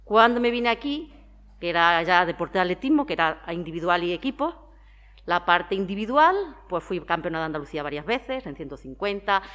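Lower-voiced Spanish woman in her forties. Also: lively intonation, fairly quick, a bit bright. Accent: Spanish (Spain). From Madrid, Spain. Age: 40s. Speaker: interviewee, former athlete and town sports councillor. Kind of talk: conversational interview answers.

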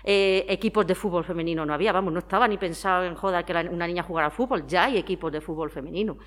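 A woman's voice: lowish voice, very fast. A woman in her forties with an accent from Spain, talkatively answering interview questions in a conversational way, explaining with examples. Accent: Spanish (Spain). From Madrid, Spain. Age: 40s. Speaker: interviewee, former athlete and town sports councillor.

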